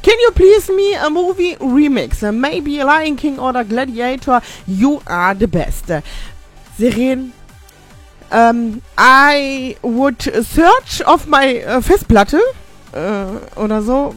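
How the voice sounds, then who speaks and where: Female radio DJ from Germany, 40s, high, bouncy voice; rambling, self-mocking chatter over faint music. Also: animated, slow pace. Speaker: web radio DJ and host. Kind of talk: casual live radio chat reading listener messages.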